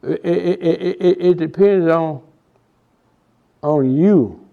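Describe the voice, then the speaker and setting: A man in his nineties with a Texas Southern accent speaks very slowly in a slightly raised voice. He stops and restarts often as he remembers. The recording is dull and lo-fi. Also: a little high, gravelly. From Houston, Texas. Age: 90s. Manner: halting, many repeated words and restarts. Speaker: interviewee, oral history. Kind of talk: reminiscing oral history interview.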